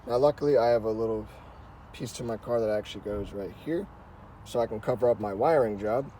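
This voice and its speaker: A man's voice: on the low side, a little fast, warm tone. Casual man giving a tutorial, noisy background. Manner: informal, repetitive instructional phrasing. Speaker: host of a how-to car channel. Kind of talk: casual hands-on tutorial talk.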